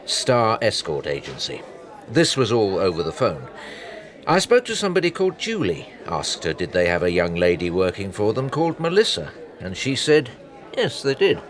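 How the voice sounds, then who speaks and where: Lively, wry British private detective. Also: male voice, lowish voice, normal speed. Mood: wry, storytelling. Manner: wry, anecdotal. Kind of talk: naturalistic radio drama acting.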